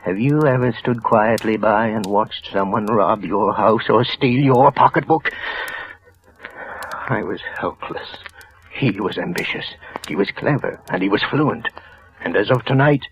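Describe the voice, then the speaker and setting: An actor playing a nervous, trembling office clerk who narrates in a hushed, tense voice, often sinking to a whisper, in a 1940s radio drama. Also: male voice, fairly low pitch, unhurried, a little hiss or hum, low-quality recording. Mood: tense, brooding. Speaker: narrator and lead character. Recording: old radio broadcast with music.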